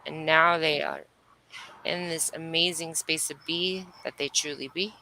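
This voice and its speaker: Bright-voiced woman leading an energy healing session. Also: low voice, a little slow, vocal fry, a little hiss or hum, rough recording. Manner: repetitive, incantatory phrasing. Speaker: spiritual medium and energy healer leading a livestream session.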